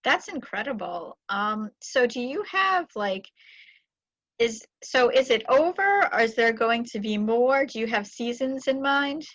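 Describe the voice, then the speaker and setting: A woman with a fairly high voice hosts a casual interview. The sound is very dry and a little dull, like modest lo-fi audio. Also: animated, measured pace, fairly bright tone, dry recording. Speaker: podcast host, interviewer.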